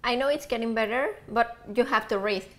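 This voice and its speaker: A woman's voice: on the high side, brisk. A woman whose first language is Spanish, a dinner guest chatting casually, laughing often and searching for words in English. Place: home dinner table.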